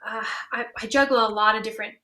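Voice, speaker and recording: Bright-voiced woman in casual panel chat. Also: fairly high pitch, fairly even intonation, quick pace. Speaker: musician and audio drama creator on a panel.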